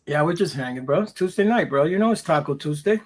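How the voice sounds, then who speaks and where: A man with a Minnesota accent hosts a live stream and trades crude jokes with his guests. His voice sits a little high. He talks loosely, in plain casual banter. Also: expressive, fast. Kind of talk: casual chat.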